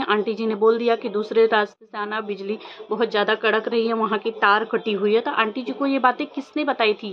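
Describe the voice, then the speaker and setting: A woman vlogger giving informal opinion commentary, questioning insistently and repeating her points for emphasis. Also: a little high. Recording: dull lo-fi audio with faint noise.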